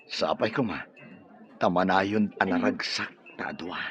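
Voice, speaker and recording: An actor playing a devoted, trusting young boyfriend in a radio drama, tender and eager to please. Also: male voice, deep voice, measured pace, fairly bright tone, muffled recording. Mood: tender, earnest. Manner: tender, earnest.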